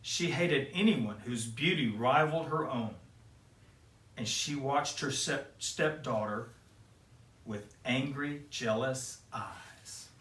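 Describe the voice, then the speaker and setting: A man's voice: mid-range voice, slow, a bit bright, recorded from a distance. A man hosting a children's show, talking cheerfully straight to kids and then reading a picture book aloud in a sing-song way. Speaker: children's storyteller and host. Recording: echoey room, away from the mic.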